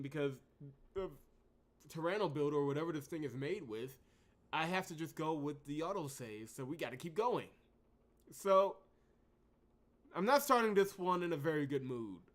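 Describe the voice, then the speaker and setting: A man's voice: a little high, fairly bright tone. A man hosting a video game playthrough, talking off the cuff in an exasperated, emphatic way that swings between outbursts and muttering. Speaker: gaming channel host. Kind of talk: unscripted let's play commentary.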